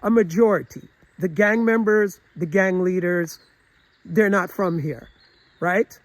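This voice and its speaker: High-voiced man rambling earnestly. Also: a little slow, no room sound. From United States. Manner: earnest, rambling, with many restarts and filler phrases. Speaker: travel vlogger. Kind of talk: opinionated casual monologue.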